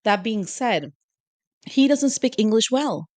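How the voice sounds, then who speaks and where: A woman's voice: fairly high pitch, slow, no room sound. Opinionated woman chatting, dry close sound. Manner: opinionated, animated, lots of "you know?". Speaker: podcast co-host. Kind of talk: casual chat.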